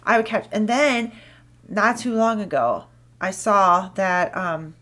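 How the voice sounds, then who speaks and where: A woman co-hosting a podcast while she has a head cold, so she sounds a little congested. She tells her stories in an animated way, laughs often and restarts words. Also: medium pitch, takes it slowly. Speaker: podcast co-host. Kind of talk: casual chat.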